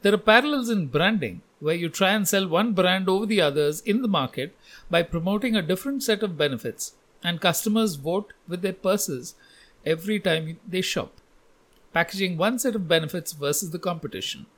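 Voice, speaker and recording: A man's voice: high voice, expressive, normal speed, a bit bright. A man hosting a podcast and reading scripted narration. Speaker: podcast host.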